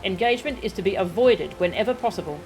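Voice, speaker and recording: Military captain read by a woman, video game briefing, measured and commanding, medium pitch, faint music. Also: a little mellow, close to an everyday voice, rough recording. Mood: businesslike. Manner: measured, formal, authoritative wording.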